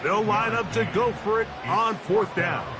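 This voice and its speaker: A man's voice: sits high, a little slow. A man calling football play-by-play in punchy, clipped announcer phrases. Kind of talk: sports game commentary. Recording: loud crowd and stadium noise. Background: roaring crowd, game ambience.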